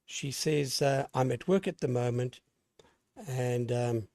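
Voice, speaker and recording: A hoarse man running an amateur radio net, talking in a lively on-air way and spelling callsigns with the phonetic alphabet. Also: mid-range voice, slow pace, no echo, studio quality. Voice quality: gravelly.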